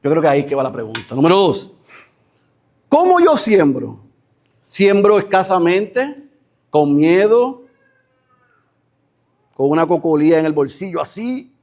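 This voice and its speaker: A man preaching a sermon as pastor, acting out imagined conversations with animated emphasis. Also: fairly high pitch, measured pace, long pauses.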